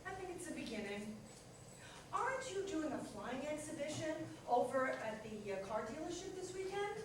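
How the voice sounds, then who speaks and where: A woman's voice: high voice, sing-song, moderate pace, recorded from a distance. Really echoey noisy recording, actress as a nagging practical lady teasing and scolding her hero in a stage comedy. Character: nagging, practical longtime woman companion of Superman. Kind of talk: staged comedy play reading. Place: echoey room at a live staged reading. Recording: very echoey hall from afar, clear noise.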